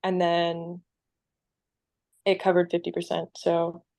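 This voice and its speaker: A woman guest answering questions in a casual chat, relaxed and using fillers. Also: fairly low pitch, takes it slowly, vocal fry, dry recording.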